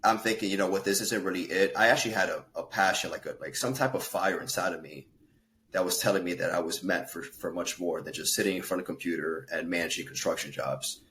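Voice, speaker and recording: Low-voiced guy on a podcast, earnest, lots of uhs and restarts, bit of room noise. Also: fast talker, a bit bright. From Peru. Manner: earnest storytelling with fillers and self-corrections. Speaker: guest, fitness and life coach. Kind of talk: casual podcast interview.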